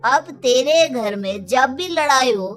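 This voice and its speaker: Cartoon male friend, played by a woman: fairly high voice, confident and helpful, music behind. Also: expressive, slow pace, a bit bright, exaggerated voice. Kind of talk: Hindi children's cartoon dubbing.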